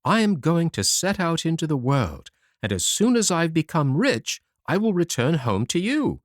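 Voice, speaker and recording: A man's voice: a little high, sing-song, moderate pace, no room sound, clean studio sound, pitched above the performer's own voice. A man voicing a tiny, clever, self-assured boy in a children's fairy tale, eager and confident. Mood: resolved. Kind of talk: storybook character voice.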